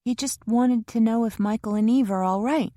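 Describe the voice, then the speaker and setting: An anxious, impulsive young woman, read by a woman narrator, pitched higher than the narration and pleading in tone. Her speech is eager and quick to protest, and the recording is close and dry. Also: warm tone, dry recording, natural voice.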